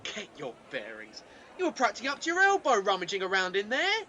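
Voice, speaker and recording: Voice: medium pitch, wildly swooping pitch, fast, pushed and bright, slight background noise. An amateur actor with a British accent playing an indignant, sarcastic, accusing train passenger in a radio play. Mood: sceptical, accusing. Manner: sarcastic, scolding. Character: indignant, accusing, no-nonsense London Tube passenger.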